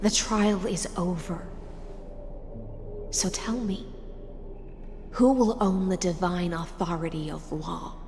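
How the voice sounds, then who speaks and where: An actress voicing a solemn, enigmatic oracle in a video game, grave and poetic, with a hushed, measured delivery. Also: female voice, on the low side, steady tone, a little hiss or hum. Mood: hushed, reverent. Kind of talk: scripted video game voice acting.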